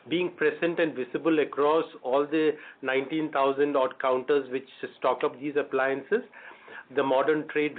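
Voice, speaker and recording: A man speaking as a company executive answering questions on an earnings call, halting and often repeating words as he builds his answers. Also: medium pitch. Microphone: phone or conference line. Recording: phone-line conference call audio.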